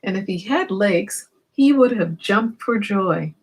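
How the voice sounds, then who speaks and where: A woman reads a storybook aloud to children at an unhurried pace. Her voice is slightly husky and mid-pitched. She is expressive and speaks directly to young listeners, adding small explanations and questions.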